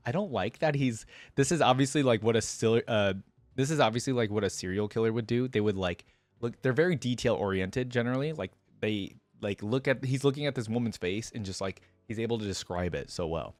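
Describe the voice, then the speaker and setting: A man's voice: mid-range voice, fairly quick, dry recording. A man hosting a reaction video, informal in manner, moving between reading a story aloud and casual commentary.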